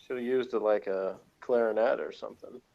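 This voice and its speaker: A man's voice: on the low side, steady tone, a little fast, creaky, dull audio. A man chatting casually as a guest reviewer, slangy and joking, often hesitant in his phrasing.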